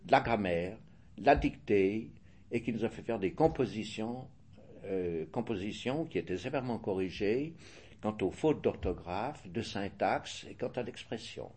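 Older Swiss French man, interviewee, measured and hesitant, lowish husky tone. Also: expressive, a little mellow. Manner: measured, hesitant, restarts and self-corrects. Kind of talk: casual interview answers.

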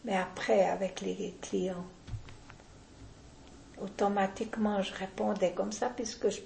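Older woman, very gravelly and mellow, opinionated and deliberate, echoey room. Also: mid-range voice, fairly even intonation, slight background noise. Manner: deliberate, opinionated, frequent restarts. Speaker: interviewee. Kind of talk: casual reminiscing interview.

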